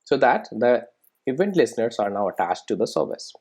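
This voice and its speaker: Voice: mid-range voice, sing-song, fairly quick, a little mellow, breathy edge. A programming instructor giving a casual step-by-step screen-recorded tutorial, often checking in with "right?" and "okay".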